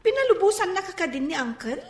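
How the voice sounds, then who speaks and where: An actress playing a young, caring and concerned cousin in a radio drama, animated and sympathetic. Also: female voice, very high voice, fast. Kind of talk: Filipino radio drama acting. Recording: echoey radio drama studio, faint music behind.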